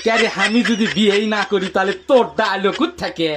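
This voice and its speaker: A man's voice: high-pitched, steady tone, quick pace, very noisy, close to an everyday voice. A man voicing a hot-tempered older village father-in-law in a comic cartoon, scolding and whining in a northern Bangladeshi accent. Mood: angry. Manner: scolding, swearing, whining in exaggerated despair.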